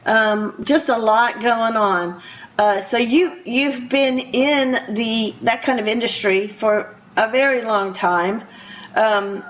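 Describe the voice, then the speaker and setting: A woman's voice: fairly high pitch, fairly even intonation, slow, dull audio. An older woman hosting a casual radio interview, chatty and rambling as she talks to her guest. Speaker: radio show host.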